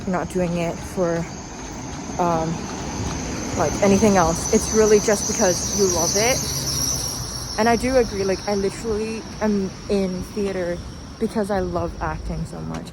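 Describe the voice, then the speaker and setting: A young woman talking casually and reflectively in a rambling vlog, recorded outdoors while walking. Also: lowish voice. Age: young adult. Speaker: vlogger. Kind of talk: casual chatty vlog monologue. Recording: noisy outdoor street with traffic.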